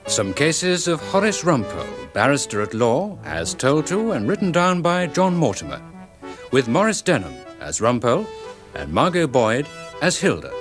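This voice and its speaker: A man's voice: fairly high pitch, big pitch swings, normal speed. A man announcing a radio drama's titles in a formal style. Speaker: programme announcer. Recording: loud brass theme music behind.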